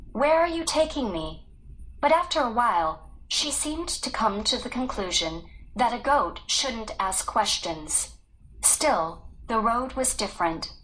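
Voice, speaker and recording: A woman reading a children's story aloud in an even, careful storytelling manner. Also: mid-range voice, rough recording. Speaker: story reader. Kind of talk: read-aloud storybook narration.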